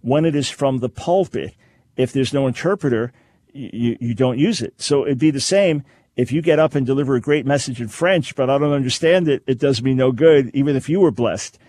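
A man hosts a call-in radio program from a studio, his voice at an ordinary middle pitch. He talks callers through his answers in a measured, explanatory way, repeating key phrases for emphasis. The recording is close and dry. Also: dark tone, no room sound. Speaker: radio talk show host. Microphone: studio microphone.

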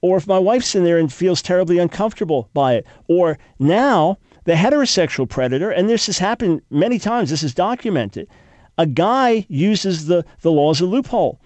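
A man's voice: high voice, lively intonation, normal speed, darkish tone, dry recording. An older man hosting call-in talk radio, sounding congested, arguing emphatically with frequent restarts. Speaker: radio talk show host.

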